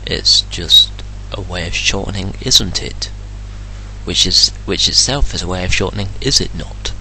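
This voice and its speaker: An English man rants into his camera in a blunt, indignant way, swearing without a second thought. His voice sits on the low side but has a bright, forceful edge. A faint electrical hum runs underneath. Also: normal speed, vocal fry, poor audio. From England. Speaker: vlogger. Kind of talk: ranting solo vlog.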